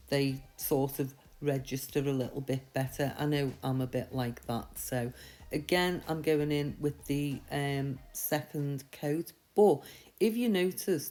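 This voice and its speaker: A woman with a slightly croaky voice from a mild sore throat, giving a chatty, reassuring, step-by-step tutorial. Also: deep voice, dark tone. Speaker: nail art tutorial host. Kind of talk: casual step-by-step tutorial voice-over.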